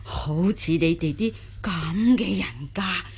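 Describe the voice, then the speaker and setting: Husky, slow-talking old country granny. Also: female voice, on the low side, a little mellow, heavily acted. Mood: musing, boastful. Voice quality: husky, aged voice. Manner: folksy exclamations, drawn-out hesitant musing. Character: simple, good-humoured old country granny, wonderstruck and chatty. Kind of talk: Cantonese radio drama acting.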